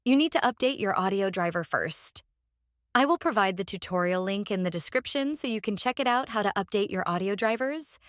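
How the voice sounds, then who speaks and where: A woman who speaks English as a second language hosts a step-by-step software tutorial in a halting, repetitive instructional way. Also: mid-range voice, expressive, no room sound, clean studio sound. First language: non-native English speaker. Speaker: tutorial host.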